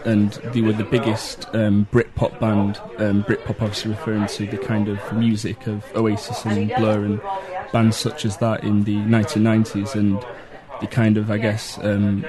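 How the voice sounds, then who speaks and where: A man from Manchester with a Mancunian accent, acting as a local guide and explaining in a relaxed way with lots of ums. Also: fairly low pitch, moderate pace, few pauses, crowd noise. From Manchester, England. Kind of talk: casual explaining.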